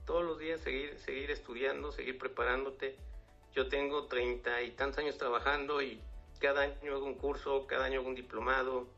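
A man's voice: mid-range voice, gravelly, pushed and bright. A man, a finance professional giving earnest advice as an interview guest, often repeating words. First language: Spanish. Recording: dull lo-fi audio, faint music.

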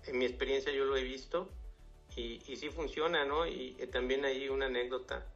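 Man in his 50s, interview guest, gravelly and bright-toned, earnest, dull lo-fi sound with faint music. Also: mid-range voice, fairly even intonation, normal speed. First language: Spanish. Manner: earnest, repeats words, tag questions. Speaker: guest, finance professional. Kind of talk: interview answers, advice.

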